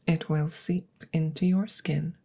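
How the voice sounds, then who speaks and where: A low female alien scientist, acted by a woman, detached and precise. The voice is husky and breathy with a crackle of fry, sometimes sinking into whispers. Each phrase is formal and deliberate, in the intimate manner of ASMR roleplay. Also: level tone, warm tone, exaggerated voice. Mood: clinical, matter-of-fact.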